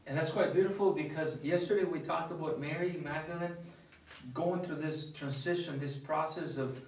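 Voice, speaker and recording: A young man, a priest, talking casually about scripture at a table, with many restarts and an animated way of telling stories. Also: on the high side, normal speed, a little hiss or hum. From not from the US. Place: dining room at a table with others. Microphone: far camera. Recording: echoey room from far off.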